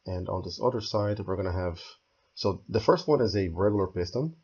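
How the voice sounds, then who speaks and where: A hoarse man who is losing his voice, walking through a game build step by step and thinking aloud as he goes. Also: deep voice, lively intonation, fairly quick, darkish tone, dull audio. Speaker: game tutorial creator. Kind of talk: screen-recorded building tutorial.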